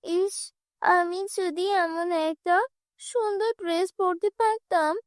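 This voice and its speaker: Woman playing a meek, very high-voiced girl. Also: steady tone, slow, no room sound, clean studio sound. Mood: wistful. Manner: plaintive, earnest pleading. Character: meek, mistreated young stepdaughter, kind and pleading. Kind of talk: cartoon fairy tale character acting.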